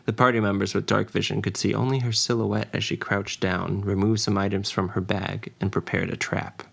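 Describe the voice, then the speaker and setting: A man's voice: on the low side, flat delivery, normal speed, gravelly. A man narrating a scripted fantasy adventure story as its dungeon master and storyteller. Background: faint ambience and music.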